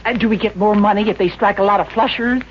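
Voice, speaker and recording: A woman's voice: mid-range voice, steady tone, fast, natural voice. A woman playing a flighty, scatterbrained landlady in a 1950s radio comedy, flustered and full of exclamations. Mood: tipsy, giddy. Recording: dull, hissy old radio recording.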